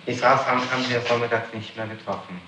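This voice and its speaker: A man formally questioning someone as an interrogator, probing and deliberate, on an old 1960s tape. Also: mid-range voice, steady tone, roomy sound, far from the mic, background noise.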